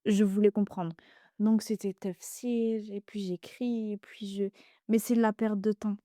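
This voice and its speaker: A woman's voice: medium pitch, measured pace, no echo, studio quality. A young woman guest talking casually on a podcast, full of fillers and restarts, Arabic dialect as her first language. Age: young adult. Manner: hesitant, many fillers and restarts, animated. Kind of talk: casual conversation.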